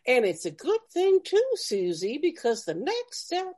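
Folksy radio homemaker, high voice, real slow n fussy, old-timey cooking show parody. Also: female voice, huge pitch swings, a little mellow, heavily acted. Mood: cheerful, fussy. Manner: exaggerated folksy exclaiming, drawn-out delivery.

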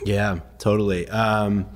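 A man raised partly in Iran, first language Farsi, telling his story as a guest in a relaxed, laughing way with many ums. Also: fairly low pitch, slow pace, big-room sound. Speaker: guest, investor. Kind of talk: casual interview storytelling.

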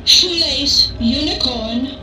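A woman's voice: high voice, slow, music in the background, low-quality recording. A woman playing a polite, unflappable computer in a radio drama, making formal robotic announcements. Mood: neutral, formal. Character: polite female computer of a vigilante's vault, unflappable.

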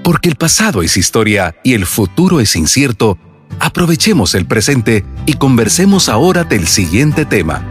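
Male announcer for a podcast intro, very animated pitch, clear guitar music bed. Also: normal speed. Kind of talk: scripted show intro announcement.

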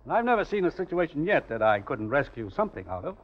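An actor playing a cool, calculating gambler in a 1940s radio drama, smooth and commanding, with deliberate phrasing. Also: male voice, a little high, sing-song. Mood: cool, probing. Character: cool, calculating male gambler offering a sinister deal. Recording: muffled old radio recording.

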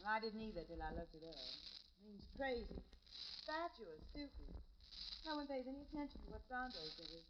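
A woman's voice: on the high side, sing-song, brisk, long pauses. An actress playing a vain, brittle, self-dramatizing woman scorned in love, in a theatrical old radio crime drama style. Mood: coy, fretful. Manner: coquettish, theatrical, defensive. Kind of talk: 1940s-50s radio crime drama acting. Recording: muffled, noisy old radio recording.

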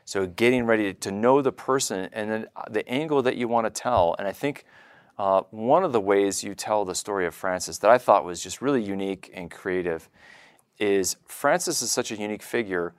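Low, dry-sounding male podcast host. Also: normal speed, smooth, no room sound. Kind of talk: conversational interview.

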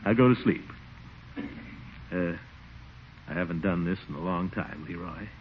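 An actor playing a fond, blustery middle-aged uncle, hesitant and theatrical, in a 1940s radio comedy. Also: male voice, sits low, unhurried. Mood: reflective, affectionate. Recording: noisy muffled 1940s radio broadcast.

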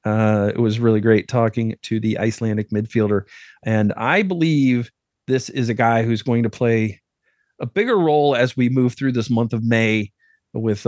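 A man's voice: fairly low pitch, a little mellow, no room sound, clean studio sound. A man hosting a podcast, interviewing a guest and chatting with his co-host in an upbeat, chatty way.

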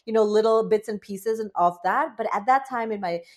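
A woman's voice: mid-range voice, brisk. Woman, late 20s-30s, from Bangladesh, breathwork guide, earnest, chatty, fillers. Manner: earnest, chatty, many "like" fillers, animated quoted asides. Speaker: breathwork facilitator, guest. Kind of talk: conversational interview.

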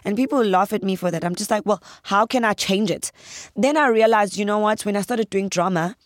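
A woman from South Africa, a guest actress on a podcast interview, with a husky, deep voice, talking in an earnest way that turns animated as she tells stories. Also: fairly quick, no room sound, clean studio sound.

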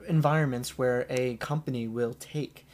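A man talking casually as a podcast guest, relaxed and hesitant, with lots of fillers and restarts. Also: mid-range voice, normal speed. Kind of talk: casual conversation.

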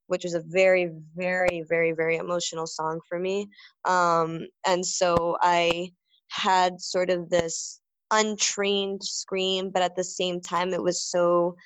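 Young female singer, lowish voice, chatty and rambling, close dry recording. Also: smooth, dry recording, rough recording. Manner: rambling, many fillers and restarts. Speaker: band vocalist. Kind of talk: casual interview answer.

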